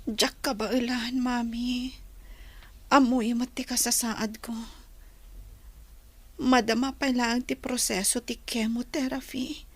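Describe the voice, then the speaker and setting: A woman's voice: a little high, level tone, a little slow, long pauses, no echo. A woman playing an anxious, vulnerable daughter confiding in her mother in a radio drama. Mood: worried, pleading. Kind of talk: radio drama acting in emotional dialogue.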